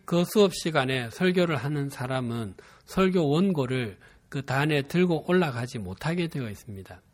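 A man in his fifties from Busan with a Gyeongsang dialect accent, preaching a sermon and telling a personal story in a measured, earnest way. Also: mid-range voice, animated. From Busan, South Korea. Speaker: pastor.

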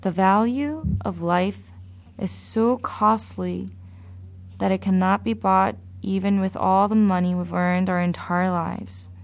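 A woman preaching a sermon in a slow, measured, deliberate way. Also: medium pitch, steady tone, creaky.

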